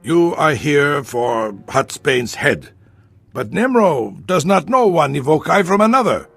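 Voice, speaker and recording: A grave alien warrior chief in a sci-fi game. His speech is slow and formal, with a rough husk, proud and reasoning. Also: on the high side, sing-song, fairly bright tone, full character voice. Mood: earnest. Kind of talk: video game voice acting.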